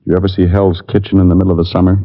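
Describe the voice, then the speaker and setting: An actor playing a tough, wry private detective with an American accent and a dry wisecracking manner, in an old radio drama. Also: male voice, low voice, little pitch movement, rapid-fire. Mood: grimly calm. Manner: wisecracking, dry, plain-spoken. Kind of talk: 1940s-50s radio drama acting. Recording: dull, lo-fi old radio recording.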